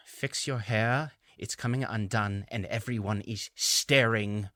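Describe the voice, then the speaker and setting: Guy playing snooty fussy lord groom in a fantasy audio drama, lowish voice, complains a lot, super dry sound. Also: slow pace, bright, forceful tone, no room sound. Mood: fussy, irritated. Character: pompous, anxious nobleman groom in fantasy tale.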